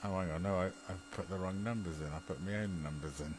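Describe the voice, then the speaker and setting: British male radio ham, lowish voice, informal and meandering, prone to chuckles and mutters, slight hum behind. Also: quick pace. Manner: chuckly, muttering to himself while operating. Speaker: amateur radio TV operator. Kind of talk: rambling casual chat over amateur television link.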